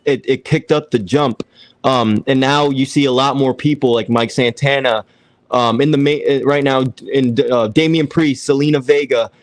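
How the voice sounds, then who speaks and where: A man's voice: mid-range voice, no room sound. A man co-hosting a podcast, asking long rambling questions and mixing in Spanish words. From Puerto Rican heritage. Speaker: podcast co-host. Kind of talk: casual interview questions.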